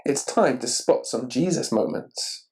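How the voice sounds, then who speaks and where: A man reading a children's picture book aloud expressively and deliberately, putting questions to the listener. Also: medium pitch, creaky, darkish tone. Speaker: story reader.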